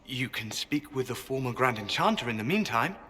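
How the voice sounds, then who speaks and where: A man's voice: medium pitch, expressive, a little fast, bright, forceful tone, slight background noise. An actor playing a polite, apologetic male messenger who speaks formally and deferentially in a video game. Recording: long reverb, faint ambience.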